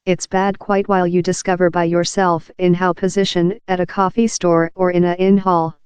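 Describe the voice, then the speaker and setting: Flat, very clear narrator reading an article. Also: mid-range voice, normal speed, no echo. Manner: reading aloud, impersonal and uninflected.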